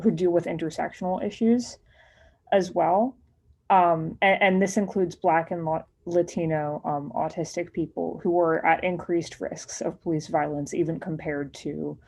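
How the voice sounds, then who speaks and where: A woman giving an earnest personal statement as a speaker at a remote public meeting, with a halting, hesitant delivery. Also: fairly low pitch, mellow.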